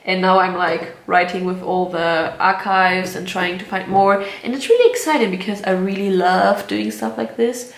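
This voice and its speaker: Young woman vlogger, mid-pitched, chatty and unfiltered, recorded in a roomy space. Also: steady tone, moderate pace, few pauses. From Germany. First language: German. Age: 20s. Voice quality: chatty, candid, laughs often, swears casually. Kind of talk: casual chat to camera. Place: at home, indoor room. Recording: roomy echo.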